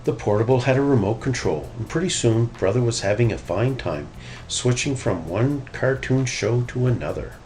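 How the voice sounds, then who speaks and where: A man narrating a children's story in an expressive read-aloud style. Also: medium pitch, normal speed, slight background noise. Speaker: storybook reader.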